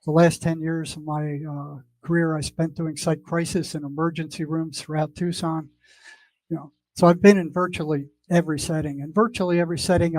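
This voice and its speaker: A man's voice: on the high side, darkish tone, dry recording, rough recording. An older man with an American accent giving an informal lecture in an emphatic, opinionated way, repeating points for stress. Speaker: speaker, former mental health worker.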